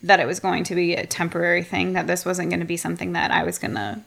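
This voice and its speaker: A woman's voice: fairly low pitch, steady tone, quick pace, gravelly, a bit bright. A woman in her thirties, a guest talking casually and animatedly with frequent laughter. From United States. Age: 30s. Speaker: podcast guest, bakery co-owner. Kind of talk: casual chat.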